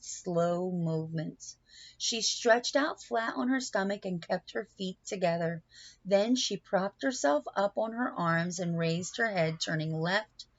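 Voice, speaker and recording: A woman reading a children's picture book aloud in an expressive, deliberate storytelling manner, with asides to young listeners. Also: fairly low pitch, no echo, muffled recording. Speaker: storybook reader.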